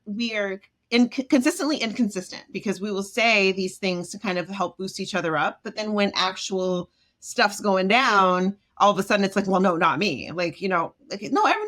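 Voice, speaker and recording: A woman from Jamaica, a self-esteem expert, talking as a podcast guest in an expressive way, acting out quoted voices and laughing often. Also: medium pitch. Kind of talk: animated podcast conversation.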